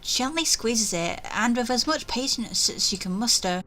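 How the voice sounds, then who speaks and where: A woman reading a visual novel aloud as its player, with expressive narration and casual asides. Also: a little high, normal speed.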